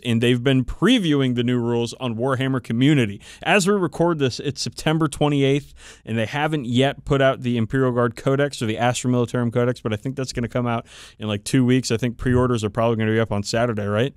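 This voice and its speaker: A man's voice: medium pitch, fairly even intonation, a little fast, clear, resonant tone, no room sound, clean studio sound. A man co-hosting a podcast, chatting casually about his hobby in an opinionated, emphatic way with plenty of swearing. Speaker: podcast co-host. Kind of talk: casual chat about tabletop wargaming.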